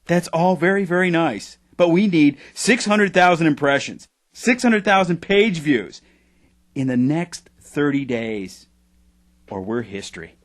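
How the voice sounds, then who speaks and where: A man's voice: a little high, lively intonation, a little slow. Guy playing a pushy radio boss in an audio drama, dry sarcasm, voice swings up and down a lot. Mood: pressing, worried. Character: pushy, sardonic male boss of struggling internet radio company. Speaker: actor playing a radio executive.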